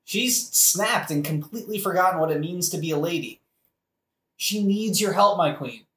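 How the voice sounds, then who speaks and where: An actor playing a deferential, flattering royal attendant who explains things earnestly in an audio play. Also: medium pitch, moderate pace, lightly acted. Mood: tactful urgency. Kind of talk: satirical modern audio play acting. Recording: echoey room.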